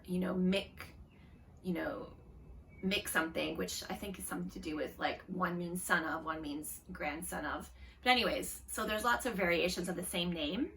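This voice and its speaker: A woman's voice: lowish voice, gravelly, bright, forceful tone, recorded from a distance, slight background noise. A woman hosting a knitting podcast, chatting casually in a rambling way with lots of fillers and self-deprecating asides. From Canada. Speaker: podcast host. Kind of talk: casual chat.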